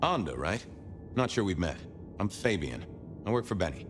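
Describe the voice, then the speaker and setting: A chatty, theatrical vampire sleuth from a video game. His low voice leaps about dramatically, wry and a little hesitant. It rings with long reverb, with music behind. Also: sing-song, normal speed. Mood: probing, polite. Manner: wry, hesitant, swooping politeness. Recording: echoing reverberant hall, background music.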